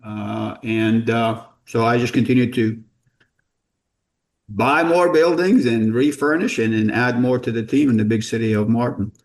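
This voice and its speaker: A man's voice: mid-range voice, fairly even intonation, measured pace. A middle-aged man from Jordan, a company founder as podcast guest, telling stories in a rambling, self-deprecating way with many false starts. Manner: rambling, self-deprecating, repeats words when starting sentences. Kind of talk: casual storytelling interview.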